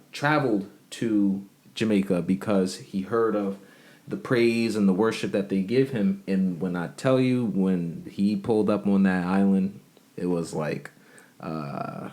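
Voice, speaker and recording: Deep guy on a podcast, rambly, lots of um and you know. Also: measured pace, a little mellow. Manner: rambling, filler-heavy storytelling. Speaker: podcast co-host. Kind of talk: casual chat.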